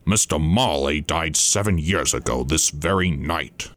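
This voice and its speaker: A man voicing a bitter, scornful old miser in a storybook reading, gruff and dismissive. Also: extremely low pitch, measured pace, fairly bright tone. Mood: sour. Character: bitter, indignant, miserly old male sinner.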